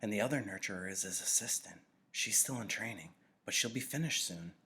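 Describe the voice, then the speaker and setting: A man's voice: lowish voice, animated, a little fast, sharp tone, slightly rough, exaggerated voice. A man voicing a curious, naive and eager boy apprentice in a storybook, earnest and chatty.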